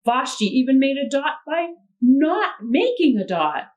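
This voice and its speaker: A woman reading a picture book aloud to children, expressive, pausing to ask gentle prompting questions. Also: high voice, muffled recording. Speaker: puppet show storyteller.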